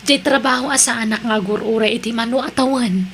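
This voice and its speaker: A woman's voice: on the high side, steady tone, a little fast, close to an everyday voice. A woman playing a polite, earnest young junior employee in an audio drama, speaking with an Ilocano Filipino accent.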